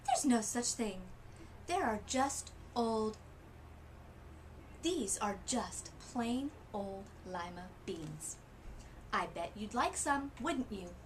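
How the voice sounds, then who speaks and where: A woman voicing a sweet, cheerful old woman healer in a storybook, with a bright, gentle, knowing manner. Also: on the high side, expressive, slow, long pauses, slight background noise, low-quality recording. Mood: gentle, matter-of-fact.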